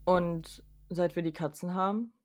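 A woman's voice: on the low side, steady tone, unhurried. A woman co-hosting a podcast, chatting casually and often musing aloud in an informal way. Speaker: podcast co-host. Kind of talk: casual chat.

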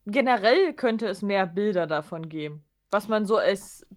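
A woman talks on a podcast in a casual, conversational way. Her voice sits at a medium pitch for a woman, and she speaks informally without a script. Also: animated, normal speed. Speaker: podcast co-host or guest.

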